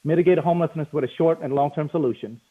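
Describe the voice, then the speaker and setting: A man in his forties reads an earnest candidate statement at a brisk clip. His voice comes through thin and tinny, like a phone line, from a home office on a video call. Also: on the high side, slightly rough. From Cambodia. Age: 40s. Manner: earnest, reads steadily with brief fillers. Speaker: mayoral candidate. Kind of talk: prepared opening statement.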